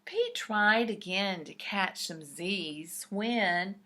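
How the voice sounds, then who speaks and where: A woman reading a picture book aloud to young children in an expressive, deliberate, explanatory way. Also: mid-range voice.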